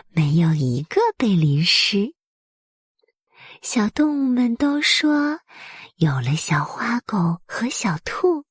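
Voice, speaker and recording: A woman narrating a bedtime story for small children in a soft, breathy voice, with gentle, soothing and careful phrasing. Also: medium pitch, no echo, clean studio sound.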